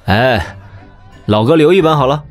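A man playing a young, easygoing wandering gentleman swordsman in an audio drama. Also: medium pitch, expressive, moderate pace, faint music behind, natural voice. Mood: affable. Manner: casual, friendly.

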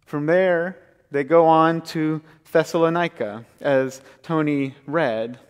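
A man in his thirties, a pastor, preaching a sermon from the pulpit and retelling scripture in a measured storytelling way. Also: a little high, clean tone. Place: church sanctuary, large, indoors. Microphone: pulpit mic, far camera. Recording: long church reverb.

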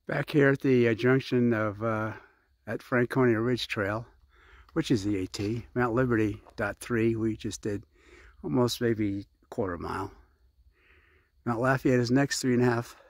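A man casually narrating his hike to a handheld camera outdoors on a mountain trail, chatty and unhurried, winded at times from the climb. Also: mid-range voice, fairly even intonation, no room sound, clean studio sound. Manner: chatty, unhurried, reads signs aloud. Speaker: hiking vlogger.

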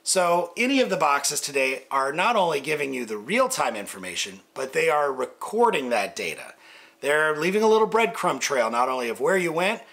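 A man's voice: a little high, very animated, moderate pace, creaky, fairly bright tone. A man in his fifties giving an informal instructional walkthrough as a sailing coach, conversational and explanatory.

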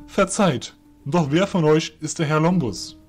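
A man playing a young fairy general, composed and courteous, speaking in formal, measured phrases in a fantasy audio drama. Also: on the high side, soft background music, close to an everyday voice.

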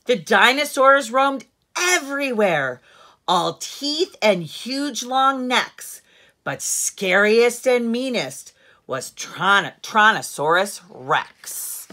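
A middle-aged woman reading a picture book aloud to children like a teacher, with deliberate storyteller phrasing and strong emphasis. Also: a little high, big pitch swings, sharp tone. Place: living room at home, seated in an armchair.

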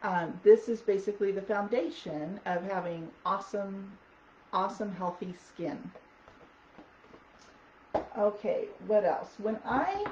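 A woman vlogger with a raspy, hoarse voice chatting casually about wellness products, with lots of ums. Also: medium pitch, a little slow, long pauses, a little mellow. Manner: chatty, many ums, reads product notes aloud. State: hoarse from talking. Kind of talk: casual product talk to camera. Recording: echoey dull audio with faint noise.

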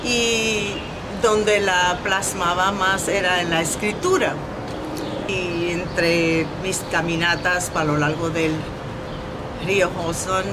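Middle aged dominican lady chatting about her art, slow n thoughtful, loud background noise. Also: fairly low pitch. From Dominican Republic. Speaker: visual artist, interview guest. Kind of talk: conversational interview about own art.

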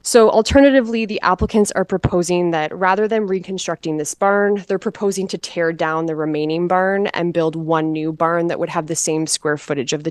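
A woman's voice: sits low, no room sound, studio quality. A woman giving a formal, plain-spoken staff presentation as a municipal planner at a council meeting. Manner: measured, procedural, plain-spoken.

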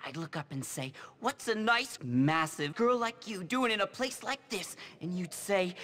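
A man's voice: sits high, expressive, a little slow, a bit bright. A man playing a pompous, nervous teenage nerd in a video game, theatrical and easily flustered. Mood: dreamy, pompous. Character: pompous, nervous teenage nerd boy, self-important schemer. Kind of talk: video game cutscene acting.

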